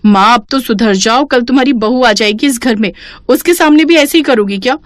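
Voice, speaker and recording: A woman's voice: fairly high pitch, fairly quick, no echo, studio quality, close to an everyday voice. A woman voicing an exasperated young daughter in a cartoon story, chiding and impatient. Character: scolding, exasperated young daughter, nagging her lazy mother. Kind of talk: Hindi cartoon moral story acting.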